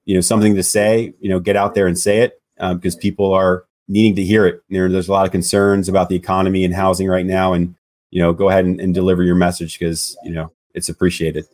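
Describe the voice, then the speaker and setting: Relaxed low-voiced man with loud voice. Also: fast talker. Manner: relaxed, hesitant, many "you know"s. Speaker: guest, loan officer. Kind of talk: casual interview chat.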